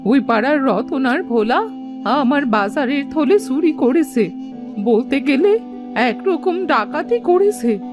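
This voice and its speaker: A woman's voice: high voice, animated, takes it slowly, music in the background. A woman playing a blind, aggrieved old village granny in a children's cartoon, plaintive and scolding. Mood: indignant. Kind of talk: Bengali children's cartoon voice acting.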